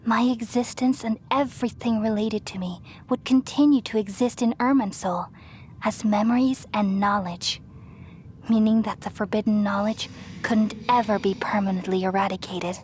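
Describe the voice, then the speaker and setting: A woman playing a gentle, self-sacrificing ancient goddess in a video game, speaking in solemn, measured, poetic phrases. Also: mid-range voice, steady tone, a little hiss or hum, close to an everyday voice. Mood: resigned, solemn. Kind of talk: scripted dramatic game voice acting. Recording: music behind the voice.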